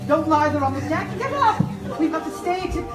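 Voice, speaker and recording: A very high-pitched, imperious woman, guilt-ridden and afraid, played by an actress in melodramatic radio horror style. Her voice carries from far off on an echoey theater stage. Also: expressive, fairly quick. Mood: insistent, frightened. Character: domineering, frightened, guilt-ridden murderess at sea. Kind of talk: live 1930s-style radio horror acting. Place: live on stage in a large theater. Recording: echoey theater stage from afar, loud music.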